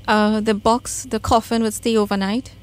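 A woman with a Trinidadian accent speaks in a fairly high voice. She talks conversationally about herself, as a guest at a radio studio microphone. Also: level tone, a little slow. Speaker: radio guest, spiritual medium. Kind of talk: conversational interview, personal storytelling.

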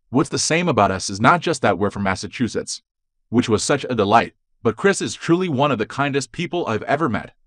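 Male narrator, scripted voice-over, lively pitch, dry close sound. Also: no room sound. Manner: even read-aloud delivery with odd phrasing.

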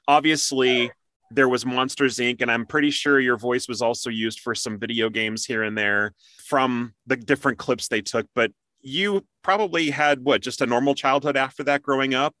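A man's voice: mid-range voice, pushed and bright, no echo. A man hosting a casual interview, talking in a conversational, explanatory way. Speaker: interview host.

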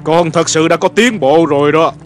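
An actor dubbing a shrewd, self-satisfied middle-aged commander in an animated historical drama, deliberate and knowing. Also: male voice, fairly high pitch, faint music behind, lightly acted. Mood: knowing, instructive.

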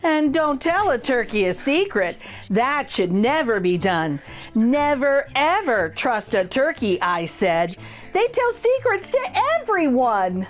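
A woman's voice: high voice, very animated, takes it slowly, soft background music. Sing-song woman reading a kids' book. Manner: sing-song, exaggerated storytelling voice. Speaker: children's book reader, channel host. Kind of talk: expressive picture-book read-aloud.

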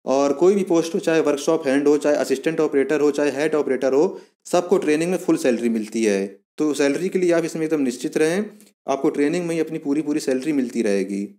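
A man's voice: a little high, fast, warm tone. A man giving an informal explainer voice-over, going step by step and repeating key points as he addresses viewers as friends. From India. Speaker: YouTube channel host explaining a police job.

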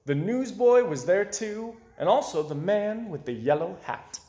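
Animated young man reading a storybook. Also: high voice, unhurried. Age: 20s. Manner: dramatic, storytelling emphasis. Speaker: storybook reader. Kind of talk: expressive children's read-aloud narration. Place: small indoor room with bookshelves. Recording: roomy indoor echo.

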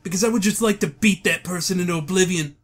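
Man as scornful high-voiced club boss. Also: fast, a little hiss or hum, lightly acted. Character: cutting, dismissive male club boss at audition. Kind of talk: comic-book dub. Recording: music with guitar behind the voice.

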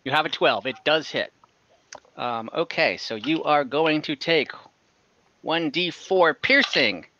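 A man's voice: fairly high pitch, lively intonation, slow, bright, forceful tone. A young man running a tabletop game over a video call, casual and hesitant with many fillers. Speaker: game master. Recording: dull lo-fi call audio.

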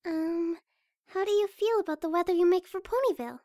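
A woman voicing a shy, gentle young cartoon pegasus pony with a hesitant, stammering delivery. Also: extremely high pitch, brisk, no room sound, clean studio sound. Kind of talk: amateur cartoon fan audio drama.

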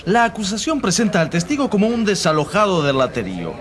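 A man's voice: high-pitched, sing-song, fast talker, slight background noise. A man playing a seasoned, cocky court journalist in a radio drama, amused and emphatic. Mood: mocking, amused.

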